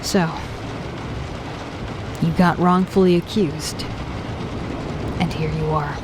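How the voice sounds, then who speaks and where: A woman's voice: low-pitched, steady tone, slow. An actress playing a direct, practical woman taking charge on a prison island, in an audio drama. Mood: curious. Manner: direct, questioning. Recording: noisy background.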